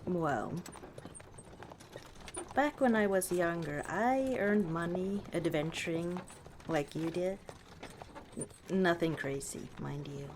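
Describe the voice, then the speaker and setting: A woman playing a warm, teasing orc chieftain wife in an unhurried, dryly dismissive ASMR roleplay monologue. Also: lowish voice, a little hiss or hum.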